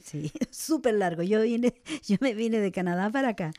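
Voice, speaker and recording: A woman from Chile interviewing a guest, encouraging him and quick to agree with what he says. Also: mid-range voice, lively intonation, warm tone, no room sound, studio quality.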